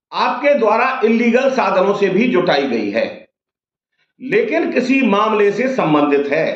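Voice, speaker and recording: High-pitched man explaining law. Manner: didactic, poses questions and answers them. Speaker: advocate explaining law to viewers. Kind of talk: informal legal explainer addressed to camera. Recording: dull, roomy, echoing sound.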